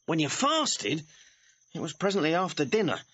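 An actor playing a cheeky, quick-witted young manservant in a classical audio drama, impudent and mock-deferential. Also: male voice, fairly high pitch, sing-song, a bit bright, husky, no echo, exaggerated voice. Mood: teasing. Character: cheeky, quick-witted young servant mocking his lovesick master. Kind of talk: classical stage-style audio drama acting. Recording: dull, band-limited vintage audio recording.

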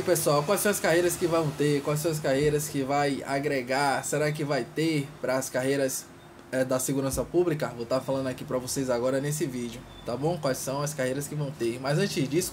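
A man in his thirties with a fairly high voice talks informally to the camera. He checks in with short tag questions and repeats points for emphasis. The phone recording carries some faint room noise. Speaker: vlogger on public service exams. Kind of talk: informal news update to camera. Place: small tiled utility room indoors. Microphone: phone at arm's length.

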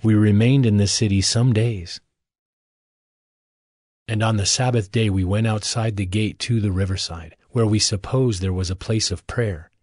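A man narrating scripture as a scripted audio drama, in a measured, formal manner. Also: lowish voice, darkish tone, dry recording, studio quality. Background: music.

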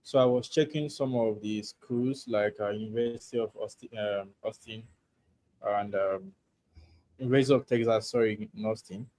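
A man's voice: fairly low pitch, steady tone, normal speed, darkish tone, no room sound. A man with a Nigerian English accent, asking a hesitant question with many fillers on a video call. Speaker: prospective student asking a question.

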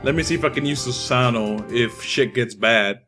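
A man's voice: medium pitch, moderate pace, a bit bright. A young man of Dominican background giving casual, profane, trash-talking commentary over his own online match. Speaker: gaming YouTuber. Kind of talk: live gameplay commentary. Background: game music.

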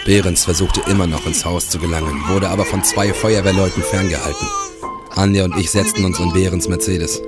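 An actor playing a grim police investigator who narrates his case in a crime audio drama, grave and measured. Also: low voice, fairly even intonation, loud music behind the voice, lightly acted.

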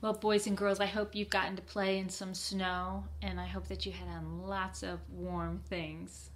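An older woman reading a picture book to children, deliberate and given to asking them simple questions. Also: medium pitch, little pitch movement. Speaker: library storytime reader.

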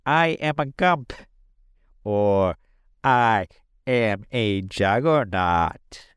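Squeaky big winged creature, stiff and wordy, swoops all over, dead dry sound. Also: male voice, expressive, drawn-out words, clear, resonant tone, no echo. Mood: bewildered. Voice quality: squeaky, high. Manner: formal, wordy, mournful. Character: squeaky-voiced huge winged male creature, pompous.

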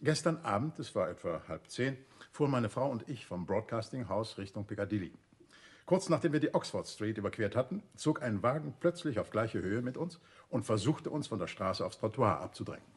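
An actor playing a composed, courteous and urbane detective in a vintage radio play, speaking conversationally with a slightly husky voice. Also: male voice, on the low side, lively intonation, fairly quick. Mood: firm.

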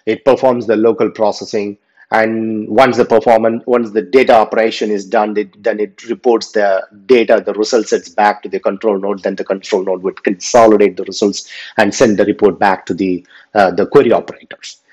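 A man's voice: fairly low pitch, level tone, normal speed, a little mellow. A man giving an unscripted lecture over slides, talking loosely with many fillers and restarts. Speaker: instructor. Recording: dull lo-fi voice recording.

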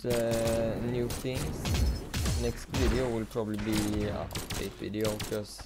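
A teenage man with a Swedish accent, a native Swedish speaker, chatting casually while playing a game, rambling with many fillers and pauses. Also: mid-range voice, little pitch movement. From Sweden. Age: teens. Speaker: gaming YouTuber. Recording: loud noise behind the voice.

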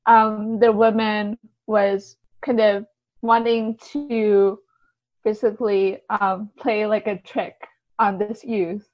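A woman's voice: medium pitch, flat delivery, slow pace, dry recording, muffled recording. A woman speaking as a podcast guest in a casual literary discussion, informally hedging her points with many small filler phrases. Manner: hedging, informal, repeats "kind of" and "like".

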